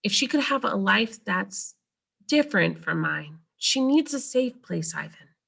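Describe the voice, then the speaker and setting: A woman's voice: medium pitch, very animated, measured pace, gravelly, a bit bright, breathy edge, heavily acted. A woman voicing a pained, wise old female elephant in a children's storybook. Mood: weary, pleading.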